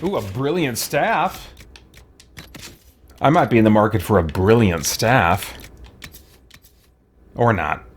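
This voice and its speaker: A man's voice: lowish voice, lively intonation, slow, long pauses. A man giving casual, playful video game commentary, musing to himself as he plays. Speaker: gamer hosting a let's play. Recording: clear game noise behind voice. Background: video game ambience.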